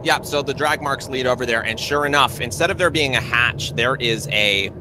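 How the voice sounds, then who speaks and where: A man narrating a tabletop roleplaying game as game master, improvising in a halting, conversational way. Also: mid-range voice. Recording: live stream with clear background noise.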